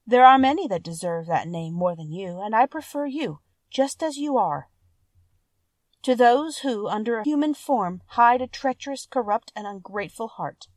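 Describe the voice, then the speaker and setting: A woman's voice: a little high, animated, normal speed, no echo, natural voice. A woman voicing a kind, timid young woman in a fairy tale, with an earnest, polite and formal manner. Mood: warm, grateful. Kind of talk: storybook character voice.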